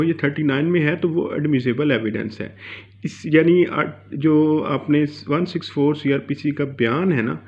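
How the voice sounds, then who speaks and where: A man teaching law informally, point by point, mixing in English terms and often adding little check-in tags. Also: a little high. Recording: dull lo-fi sound.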